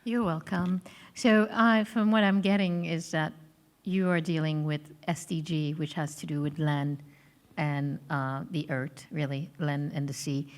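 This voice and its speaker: A woman's voice: deep voice, steady tone, measured pace. A woman moderating a conference panel, politely summarizing what a speaker said.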